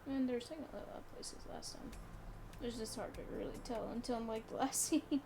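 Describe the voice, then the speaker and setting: A woman co-streamer with a fairly high voice banters casually about a game. There is clear noise behind her voice. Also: fairly quick, dark tone. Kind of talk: casual let's play banter.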